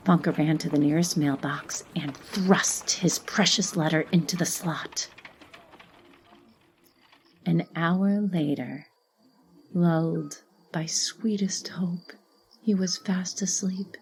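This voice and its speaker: A woman narrating a literary short story expressively, in a measured way with breathy, hushed phrases. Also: fairly low pitch, fairly even intonation, a little hiss or hum. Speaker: audiobook narrator.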